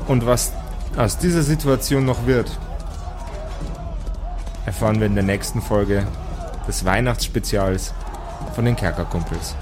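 A man narrating an improvised tabletop audio play as game master, in measured, dramatic short phrases. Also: on the low side, music in the background.

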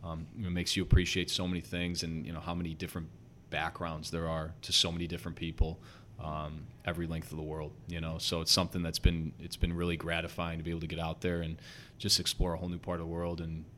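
A young man with a slight Chicago accent, speaking as a guest coach on a podcast. His voice is very deep and stays quite flat. He talks earnestly and quickly, full of "you know" and "um", with a faint low rumble behind him. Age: young adult. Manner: earnest, talks fast with many fillers. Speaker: basketball head coach, guest. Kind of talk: casual podcast interview.

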